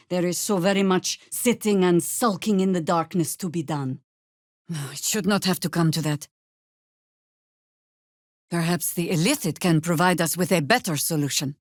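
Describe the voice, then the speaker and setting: An actress playing a battle-hardened older druid warrior in a video game, with a dry, sardonic, commanding manner. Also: female voice, on the low side, moderate pace, no room sound, close to an everyday voice. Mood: wry, composed. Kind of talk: video game character voice acting.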